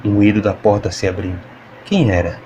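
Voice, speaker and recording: A man's voice: fairly low pitch, steady tone, fairly quick, mellow, a little hiss or hum. A man with a Brazilian accent reading scripted, dramatic fiction narration for an audiobook. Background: music.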